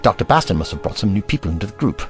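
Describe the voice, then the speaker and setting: Man to play theatrical alien doctor for audio drama: commanding, protective, hugely animated delivery, faint score behind. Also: lowish voice, rapid-fire, faint music behind. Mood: thoughtful. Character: urgent, protective male alien doctor and investigator.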